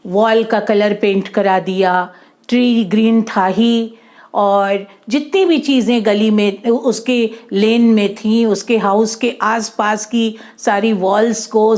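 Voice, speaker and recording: A woman narrating a moral story for children in an expressive, explanatory way, repeating and rephrasing her points and mixing in English words. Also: mid-range voice, slow.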